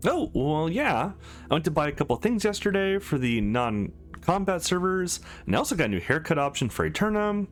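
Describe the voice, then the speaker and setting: A shy young woman from a visual novel, as a man acts her out. She is sweet and easily flustered, speaking high with a bashful, lilting hesitance. Soft music runs behind. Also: very animated, normal speed.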